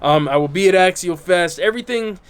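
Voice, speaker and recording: Male vlogger on camera, outdoors, congested and stuffy, slightly higher voice, earnest and talky. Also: a little fast, clean tone. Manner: earnest, repeats "right?" and "okay?" often. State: stuffy, congested from burnt lungs. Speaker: YouTube channel host. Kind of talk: casual talk to camera. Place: outdoors in bright sun.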